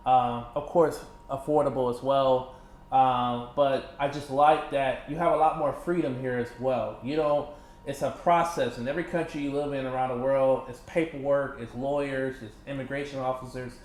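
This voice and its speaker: A man in his thirties from Michigan, chatting casually as an interview guest, animated, laughing often and filling with "you know". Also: medium pitch. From Michigan, USA. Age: 30s. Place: indoors in a condo. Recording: echoey room with faint ambience.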